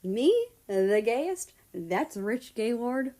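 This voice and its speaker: Woman voicing Japan, a high-pitched flustered girl. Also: very animated, extremely slow, warm tone, full character voice. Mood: indignant. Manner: indignant, melodramatic squealing. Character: small, easily embarrassed, melodramatic cat-like girl, Japan.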